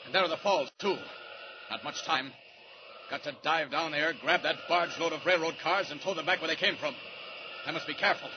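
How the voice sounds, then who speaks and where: An actor playing a calm, brave male reporter who is secretly a superhero, in 1940s radio acting. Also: fairly high pitch, dull audio, close to an everyday voice. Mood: resolute. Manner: calm, reassuring, brisk. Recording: hissy vintage radio broadcast.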